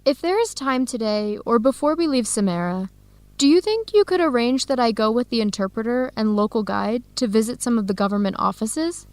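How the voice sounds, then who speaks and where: Educational radio drama role: actress as an eager, organized young female researcher, courteous, fairly high voice, dry studio sound. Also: expressive, fairly quick, dry recording, natural voice. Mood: polite, tentative. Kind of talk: radio drama dialogue for English learners.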